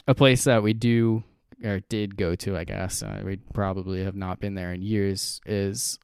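A man's voice: on the low side, steady tone, moderate pace, vocal fry, darkish tone, clear, resonant tone, no echo, studio quality. A young man from New Hampshire, co-hosting a casual chat and rambling with fillers. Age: young adult.

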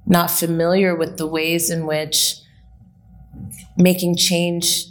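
A woman's voice: fairly low pitch, little pitch movement, slow pace. A woman in her late thirties, a guest telling a story in a reflective, conversational way with frequent fillers. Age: late 30s. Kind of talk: conversational interview.

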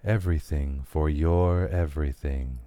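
A man voicing an inhuman company representative, a menacing and gracious bargainer who speaks in slow, insinuating sales patter in an audio story. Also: extremely low pitch, warm tone, dry recording. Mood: smooth, coaxing. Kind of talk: dramatic audio fiction reading.

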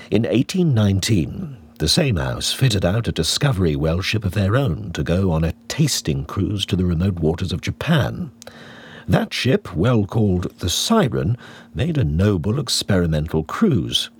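A man narrates a classic novel in a low, very husky voice. His delivery is theatrical and deliberate, with pitch that moves widely as he leans on key phrases. It is polished storytelling for an audiobook. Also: a little mellow. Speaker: audiobook reader. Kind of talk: scripted literary narration.

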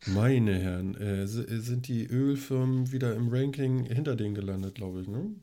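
A man's voice: medium pitch, fairly quick, warm tone, slight background noise. A man hosting a casual tech podcast, chatty and rambling, with a cold that makes him cough. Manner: chatty, rambling with many fillers. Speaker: podcast host. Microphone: studio microphone on a long cable.

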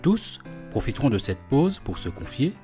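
A man's voice: medium pitch, sing-song, normal speed, over music. A man hosting a food podcast, who narrates and interviews in a friendly, curious way. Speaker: host.

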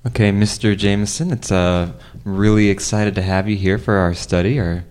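A man's voice: fairly low pitch, fairly even intonation, darkish tone, clean tone, close to an everyday voice. A man playing an awkward, politely condescending researcher in improvised radio theater, stammering through official explanations. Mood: polite, halting. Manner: halting, bureaucratic, stammering.

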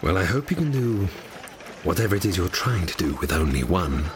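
An actor playing a sly, brooding fallen demon in an audio drama, menacing and sardonic. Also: male voice, sits low, big pitch swings, fairly quick, background noise. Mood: irritated, sardonic.

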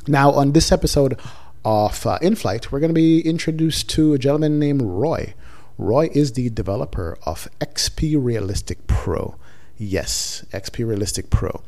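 A man hosting a flight sim podcast, introducing the episode in a relaxed, chatty way with fillers. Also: lowish voice, gravelly. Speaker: podcast host. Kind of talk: podcast intro and casual chat.

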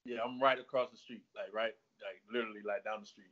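A man's voice: fairly low pitch, steady tone, fast, crowd noise. A man from North Carolina joining a podcast by video call, talking in friendly, hyped slang. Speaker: podcast guest. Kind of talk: casual interview chat. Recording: muffled lo-fi call audio.

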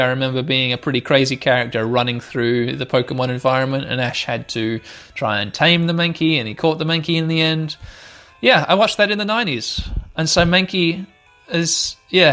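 A man in his thirties with a slightly hoarse voice, hosting a let's play with rambling, sweary, mock-dramatic gameplay commentary. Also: on the high side, fairly bright tone. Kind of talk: casual gameplay commentary with nostalgic asides. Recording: music playing behind the voice. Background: music.

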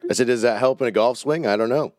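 A man hosting a podcast, relaxed and laughing as he chats with callers. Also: medium pitch, fast talker, no echo. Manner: easygoing, laughing banter. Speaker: podcast host.

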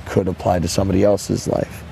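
A hoarse young man, a musician guest at a festival, chatting in a laid-back, rambling way and laughing often. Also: deep voice, little pitch movement, brisk, dark tone, slight background noise. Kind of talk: casual interview chat. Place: outdoors at a festival.

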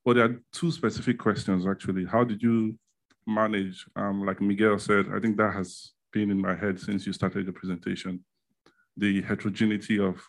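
A man asking detailed questions at a policy seminar, phrasing them deliberately and haltingly. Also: lowish voice, flat delivery. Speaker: audience member asking questions.

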